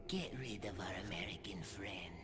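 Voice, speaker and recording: A woman playing a pompous, mocking young aristocrat villain in a video game cutscene. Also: rumbling low. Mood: menacing. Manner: slow, gloating, pompous. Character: young villainous aristocrat, mocking, theatrical, twenty years old. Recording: long hall reverb under loud music.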